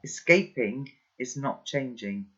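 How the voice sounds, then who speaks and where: A reader voicing a calm, wise elderly grandfather who speaks slowly and thoughtfully, in a slightly muffled recording. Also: medium pitch. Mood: calm, gently wise. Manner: slow, measured, philosophical.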